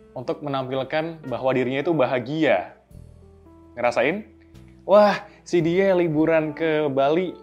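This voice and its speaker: A man's voice: fairly high pitch, darkish tone, dull audio. A man hosting a casual explainer, talking loosely and putting on short quoted asides. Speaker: host. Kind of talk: explainer narration.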